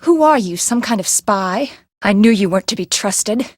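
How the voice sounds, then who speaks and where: A woman's voice: mid-range voice, animated, normal speed, no room sound, studio quality, natural voice. A woman voice actor playing a haughty, hot-tempered, eccentric woman with a melodramatic delivery in a video game. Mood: taunting.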